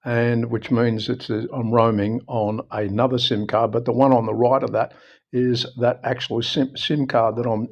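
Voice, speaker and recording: Aussie guy doing a casual phone tutorial, croaky husky voice, stops and starts, slightly muffled audio. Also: mid-range voice, flat delivery. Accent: Australian. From Australia. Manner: halting, explanatory, often repeating himself. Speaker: presenter of a phone how-to video. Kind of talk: informal unscripted tutorial talk.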